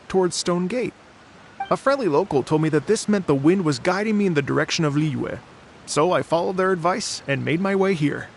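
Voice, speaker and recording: A gravelly, weary bard. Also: male voice, fairly high pitch, a little hiss or hum. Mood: eager. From Fontaine. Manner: measured, earnest storytelling. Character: weary middle-aged male bard, earnest storyteller. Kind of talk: video game dialogue.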